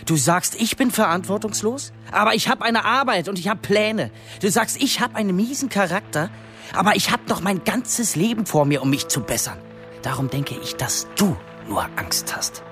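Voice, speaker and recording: A man's voice: sits high, lively intonation, moderate pace, pushed and bright, faint music behind. An actor playing a blunt, defiant young working-class man in love in a German radio drama. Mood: insistent.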